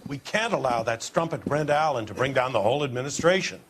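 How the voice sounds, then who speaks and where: A man's voice: medium pitch, lively intonation, quick pace, slight background noise, lightly acted. Game role: male actor as indignant politician, irritable and pompous, mid register. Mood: worried, brusque. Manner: brusque, indignant. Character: irritable, self-important male city mayor under pressure. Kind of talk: video game dramatic acting.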